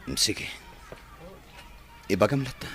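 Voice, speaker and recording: A man playing a wounded but resolute former boyfriend in a radio drama, grave and measured, with a Filipino Ilocano accent. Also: lowish voice, very animated, fairly bright tone, a faint crowd, heavily acted. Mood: hurt, resolute.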